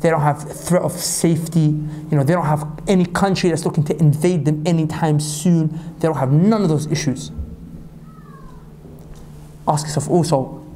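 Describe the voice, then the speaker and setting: A man from Pakistan delivering an impassioned sermon as a preacher, reciting scripture quickly and climbing to high emphatic peaks. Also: fairly even intonation, warm tone, slight background noise. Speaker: Islamic preacher.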